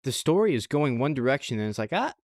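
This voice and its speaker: A man speaks as a podcast co-host in a dry, close-sounding space. His voice sits at an ordinary pitch, and he chats casually, repeating short phrases to press his point. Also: sing-song, fast talker, darkish tone, no room sound. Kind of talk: casual anime review chat.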